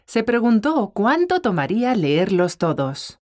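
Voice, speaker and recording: A woman voicing the gentle storyteller of a children's cartoon, narrating with a Latin American Spanish accent. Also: medium pitch, very animated, unhurried. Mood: gentle, storytelling. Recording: music behind the voice.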